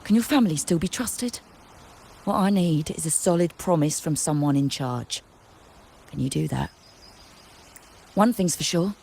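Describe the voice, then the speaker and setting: A woman's voice: on the low side, darkish tone, husky. An actress voicing a blunt, skeptical young woman trader in a video game, talking straight and a little weary.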